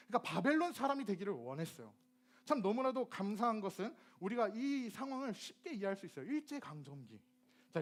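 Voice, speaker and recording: A young man from Gyeonggi preaching a sermon to teenagers, asking them questions and acting out dialect phrases. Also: sits high. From Gyeonggi, South Korea. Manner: lively, asks the audience questions and acts out dialect phrases. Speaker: youth ministry preacher.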